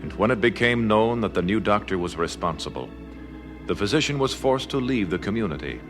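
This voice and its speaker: A man narrates in a formal old-time radio style. His voice is low with broad, expressive swings in pitch, measured and solemn over prominent organ music. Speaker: narrator and announcer.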